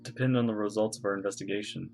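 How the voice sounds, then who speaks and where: A woman's voice: a bass voice, steady tone, rapid-fire, a little mellow. A woman streaming a game, reacting live and thinking aloud about what happens. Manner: musing aloud, thinking through the plot. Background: game music.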